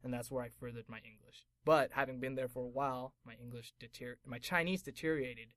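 A man's voice: mid-range voice, steady tone, a little fast, fairly bright tone, no echo. A man from Taiwan who works as an actor, chatting casually as an interview guest and laughing easily.

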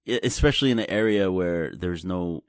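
A man, raised in New after being born in Taiwan. He talks as an interview guest, explaining simply and haltingly, often repeating words as he goes. The sound is dry and close. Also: low voice, no echo. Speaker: guest, blockchain company representative. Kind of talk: casual interview chat.

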